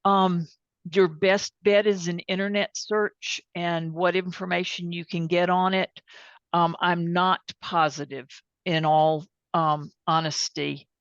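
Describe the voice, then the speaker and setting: A plainspoken woman presenting an informal software demonstration and taking questions, candid about what she does and doesn't know. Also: fairly low pitch, flat delivery, takes it slowly, clean tone, dry recording. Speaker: presenter and tech support. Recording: dull, band-limited sound.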